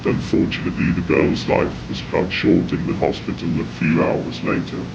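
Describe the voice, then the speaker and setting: A man reading scripted horror narration in a grave, measured way, with a gravelly, whispery voice. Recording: dull, noisy lo-fi audio with rumble.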